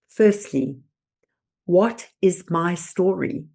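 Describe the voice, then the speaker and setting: A woman presenter delivering scripted motivational narration in a deliberate, emphatic, oratorical manner. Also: on the low side, creaky, dark tone, slightly rough. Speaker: host and presenter.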